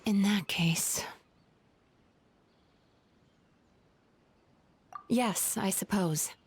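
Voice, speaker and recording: A woman's voice: mid-range voice, slow pace, breathy edge. A woman voicing a caring, composed young female therapist in an anime game, articulate and polite but firm. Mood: thoughtful. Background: faint background music.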